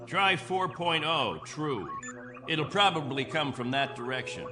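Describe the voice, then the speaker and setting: A man acting in a radio drama as a stern, commanding captain, speaking with measured, sardonic authority. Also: fairly high pitch, sing-song, music in the background. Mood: brisk, commanding. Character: stern, commanding male captain of a stranded settlement.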